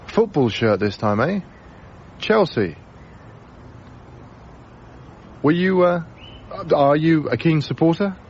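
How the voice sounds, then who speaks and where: An actor playing a gentle, heartbroken young British lodger in a radio drama, speaking in a measured, reflective way. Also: male voice, mid-range voice, a little hiss or hum, natural voice. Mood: gentle, friendly. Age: young adult. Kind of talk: BBC radio drama acting, intimate first-person narration. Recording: dull radio broadcast recording.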